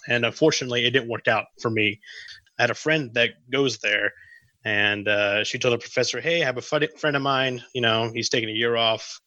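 A man's voice: mid-range voice, bright, forceful tone, dry recording, low-quality recording. A man in his twenties from Tennessee, a guest on a radio talk show, chatty and rambling with frequent "you know" fillers. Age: 20s. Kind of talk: casual conversation.

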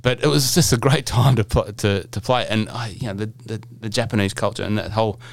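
Middle-aged male podcast host, low voice, rambling and jokey, loose casual delivery. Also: steady tone, a little fast, vocal fry, no echo. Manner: chatty, rambling, jokey, many fillers and restarts.

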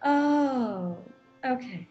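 A timid office secretary from an old radio comedy played by a woman. She speaks high and very slowly, hesitant and apologetic. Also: animated, mellow, lo-fi sound, soft background music, heavily acted. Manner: drawn-out, apologetic, halting. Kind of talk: 1940s radio comedy acting.

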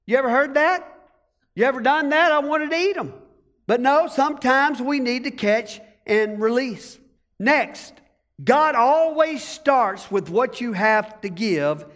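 A man's voice: high-pitched, a bit bright, in a large hall. A male pastor with an American country accent, preaching a folksy sermon and calling on the congregation to answer back. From rural United States. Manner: folksy, storytelling preacher asking the congregation to respond.

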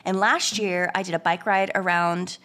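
A woman with a hoarse, congested voice from a cold, chatting casually as a podcast co-host and rambling through many restarts. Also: lowish voice, fairly even intonation, moderate pace, bright, forceful tone. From United States. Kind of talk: casual chat.

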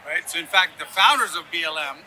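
A man's voice: on the high side, expressive, a little fast, sharp tone, rough recording. A man from Ghana and East Africa giving opinionated political explanations in an interview, often checking in with "right?". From born in Ghana, grew up in Sudan, Yemen and Ethiopia. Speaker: interview guest, conservative activist.

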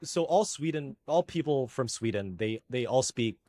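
A man's voice: mid-range voice, lively intonation, moderate pace, dark tone, no echo. A man from the United States hosting a casual chat, curious and full of questions, often stumbling over his words.

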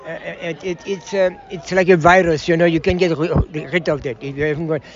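An older South African man interviewed outdoors at a park, talking excitedly and haltingly, often repeating words. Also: on the high side, muffled recording. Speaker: interviewee, parkrunner.

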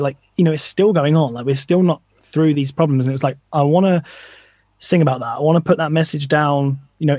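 A man's voice: a little high, darkish tone, no echo, studio quality. A man in a pop punk band, answering interview questions in a loose, earnest way full of fillers.

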